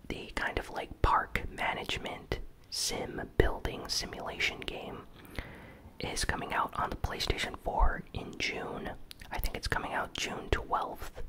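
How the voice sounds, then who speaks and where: Whispered ASMR gaming news host. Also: poor audio. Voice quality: breathy, unvoiced whisper. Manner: casual, conversational.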